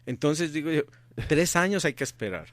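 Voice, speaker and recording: A man's voice: on the high side, lively intonation, normal speed, no echo. A middle-aged man from Colombia, answering interview questions earnestly and repeating his key points for emphasis. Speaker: interview guest, activist father.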